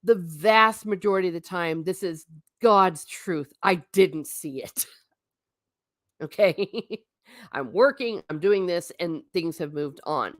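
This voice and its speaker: A woman's voice: on the low side, takes it slowly, dry recording, clean studio sound. A woman hosting a casual livestream, reading viewer comments and answering them in a chatty, blunt way. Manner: chatty and blunt, with frequent asides and restarts. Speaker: livestream host.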